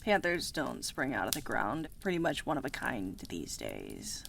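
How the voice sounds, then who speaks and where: Woman acting creaky, irritable bat deity. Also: very deep voice, wildly swooping pitch, moderate pace, fairly bright tone, slight background noise, exaggerated voice. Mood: weary scorn. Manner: curt, scornful, sighing. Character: irritable, sneering, short-tempered bat deity. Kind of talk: dramatic visual novel voice acting.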